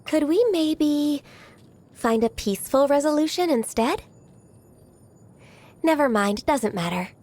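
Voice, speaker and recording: A woman playing a gentle, shy, dutiful half-qilin secretary in a video game, speaking politely and earnestly in a formal, apologetic way. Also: sits high, lively intonation, slow, long pauses. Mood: bashful, gentle. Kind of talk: video game character voice lines.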